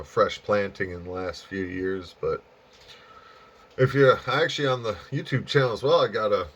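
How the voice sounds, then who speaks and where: An American man chatting casually and easygoingly over ham radio, rambling along with drawn-out pauses. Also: fairly low pitch. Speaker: amateur radio operator and channel host. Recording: dull, lo-fi sound.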